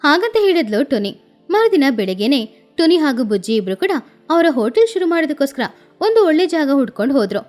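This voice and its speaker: A woman's voice: sits high, big pitch swings, fast talker, a little mellow, soft background music. A woman narrating a scripted children's story as the storyteller.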